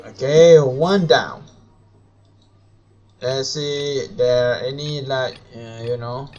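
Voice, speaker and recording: A man who is a non-native English speaker, live streaming a game and reading its dialogue aloud in a halting, stumbling way. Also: medium pitch, steady tone, darkish tone, a little hiss or hum. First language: not English. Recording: dull lo-fi mic with music behind.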